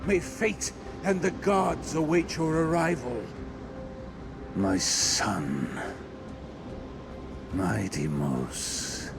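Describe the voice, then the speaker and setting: A man's voice: medium pitch, drawn-out words, creaky, pauses often. An actor playing a grim, resolute warrior king in a fantasy game, with solemn, stately and archaic formal speech. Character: grim, resolute warrior king ready to sacrifice his son. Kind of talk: dramatic game voice acting. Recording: loud dramatic music behind the voice.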